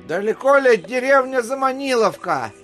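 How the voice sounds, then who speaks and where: An actor playing a genteel, mildly impatient travelling gentleman in an audio drama. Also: extremely high pitch, animated, normal speed, faint music behind. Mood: musing, mildly impatient. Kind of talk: theatrical audio drama acting.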